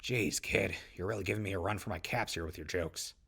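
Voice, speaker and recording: An actor playing a friendly, joking wasteland survivor in an audio drama, casual and slangy with a gravelly voice. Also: male voice, deep voice, a little fast, fairly bright tone, heavily acted. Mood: upbeat. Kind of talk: post-apocalyptic audio drama acting.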